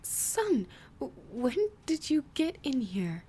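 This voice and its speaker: A woman voicing a cold, controlling mother, high and clipped in her delivery. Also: very animated, slow, warm tone, full character voice. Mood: flustered.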